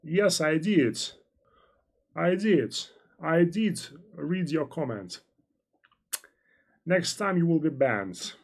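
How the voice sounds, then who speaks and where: A man's voice: a little high, animated, takes it slowly, pauses often, breathy edge. A man working as a financial analyst, hosting a live-stream trading lesson and answering viewer chat in a loose, rambling way full of fillers and restarts.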